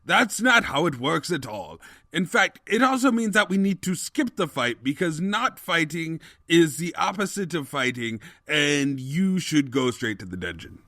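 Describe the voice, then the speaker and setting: Scheming cartoonish pony tyrant, highish voice, swooping melodrama, slow grandiose delivery, boastful, comic audio drama. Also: male voice, very animated, bright, forceful tone, dry recording, clean studio sound, exaggerated voice. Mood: flustered persuading. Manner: grandiose, theatrical, drawn-out delivery.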